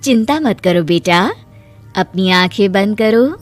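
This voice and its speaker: A little magical tree fairy, played by a woman, kindly and motherly. She reassures gently in a medium pitch, storybook cartoon style, over music. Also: animated, takes it slowly. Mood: reassuring. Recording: music behind the voice.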